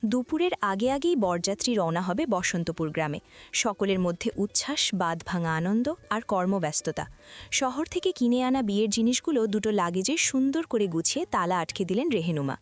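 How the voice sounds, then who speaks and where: A woman's voice: mid-range voice, sing-song, normal speed. A woman reading scripted story narration as the narrator. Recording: music behind the voice.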